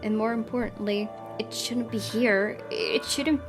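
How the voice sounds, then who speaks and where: A woman's voice: a little high, fairly even intonation, unhurried. A woman hosting a gaming video, reading a story dramatically aloud with casual commentary. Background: music behind the voice.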